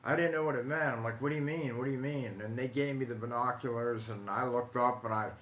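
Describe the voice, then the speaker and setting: An American man in his forties talks casually to live viewers in a roomy, echoing space with faint noise behind him. He tells stories in a halting, conversational way, often doubling back on his words. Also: medium pitch, fairly even intonation, fairly bright tone. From Ohio, United States. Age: 40s. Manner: halting, repeats himself, conversational. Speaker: host of a paranormal storytelling live stream. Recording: roomy echo, light background noise.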